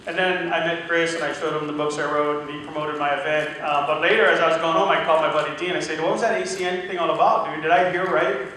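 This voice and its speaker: A man's voice: a little high, fairly even intonation, fast, pushed and bright. A man giving a casual testimonial speech to a room at a business event, telling his story with quoted dialogue. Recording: echoey room from far off, faint noise.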